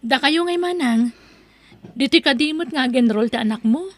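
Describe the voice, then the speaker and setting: Lady acting nosy chatty neighbor mom, ilocano accent, highish voice, asking stuff, audio drama. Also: moderate pace. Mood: curious. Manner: conversational, questioning. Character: chatty, inquisitive neighbour mother.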